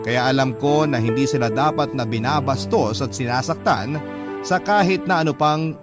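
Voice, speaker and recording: Casting: actor as earnest young male narrator, Filipino accent, medium pitch, first-person radio drama, prominent music bed. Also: moderate pace, close to an everyday voice. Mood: earnest, sympathetic. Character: earnest young man narrating his love story as letter. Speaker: story narrator. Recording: clear music bed behind the voice.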